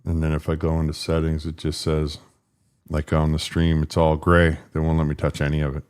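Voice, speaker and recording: A man with a deep, rumbling voice hosting a casual livestream, rambling and frustrated as he fixes his stream settings. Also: completely flat delivery, brisk, darkish tone, clean tone. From Canada. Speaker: political livestream host.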